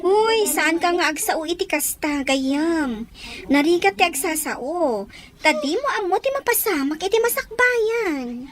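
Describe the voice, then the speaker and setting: A woman's voice: very high voice, animated, unhurried. A woman playing an outspoken, gossipy young woman in an audio drama, chatty and questioning. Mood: teasing, scolding.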